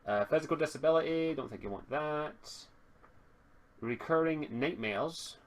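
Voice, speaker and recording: Man reading out tabletop game rules, halting. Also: a little high. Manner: halting, reading items aloud from a rulebook. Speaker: game master.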